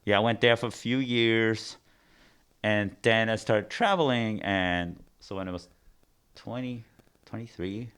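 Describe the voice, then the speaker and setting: A middle-aged man with an Austrian accent, speaking with a somewhat low voice. He tells his life story in a loose, rambling way, full of fillers and restarts. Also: fairly even intonation, a little slow, fairly bright tone, clear, resonant tone. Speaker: arts program director. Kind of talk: casual interview storytelling.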